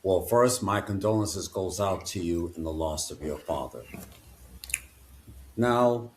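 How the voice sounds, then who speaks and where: A man's voice: low-pitched, animated, slow pace, darkish tone, slight background noise. A man hosting a podcast interview, speaking in a formal, announcer-like way. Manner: formal, announcer-style introductions. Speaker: podcast host.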